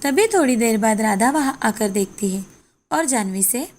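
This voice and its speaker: A woman's voice: a little high, animated, moderate pace, a little mellow. A woman narrator reading a scripted moral fairy tale as storytelling. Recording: background music under the voice.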